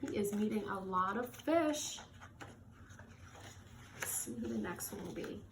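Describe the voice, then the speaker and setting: A woman reading a children's story aloud with expressive, drawn-out emphasis and short asides. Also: medium pitch. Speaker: read-aloud host for children. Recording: echoey room with faint noise.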